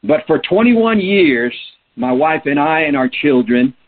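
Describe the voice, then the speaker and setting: Husky preacher on a tinny line. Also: male voice, a little high, big pitch swings, unhurried. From Peru. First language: Spanish. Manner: earnest, emphatic, asks rhetorical questions. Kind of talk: sermon. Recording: tinny phone-like sound.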